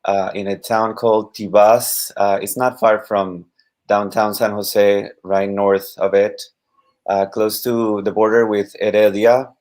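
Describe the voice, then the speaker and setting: A man in his thirties from Costa Rica with a Costa Rican accent, a Spanish teacher talking as a guest in a casual live-stream interview, halting with many fillers and restarts. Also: on the low side, little pitch movement, darkish tone.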